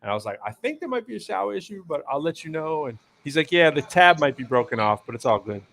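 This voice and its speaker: A man's voice: on the high side, big pitch swings, fast, vocal fry, a bit bright, slight background noise. A man hosting a live stream show, talking casually and telling long rambling stories with lots of fillers and restarts. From United States East Coast. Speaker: show host. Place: hotel area in New York City.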